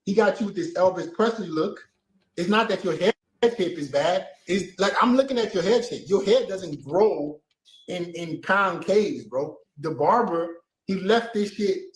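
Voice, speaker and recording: High voiced guy, super animated, blunt sweary slangy advice, echoey room. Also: a little slow. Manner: blunt, profane.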